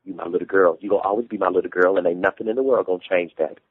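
A man's voice: low voice, fairly even intonation, racing, breathy edge, no room sound, phone-quality sound. A man voicing a volatile, wounded Southern father, commanding and anguished in rural dialect. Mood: disbelieving.

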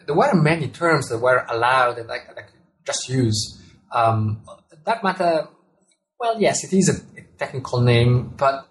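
Halting Italian-accented man. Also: medium pitch, a little hiss or hum, rough recording. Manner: halting, many repeated words and restarts. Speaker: author and astronomer. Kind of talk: interview answers.

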